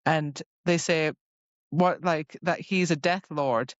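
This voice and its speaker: A woman's voice: deep voice, steady tone, slow pace, dry recording, muffled recording. A woman co-hosting a podcast in a casual book discussion, retelling things animatedly with mock quotes. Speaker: podcast co-host.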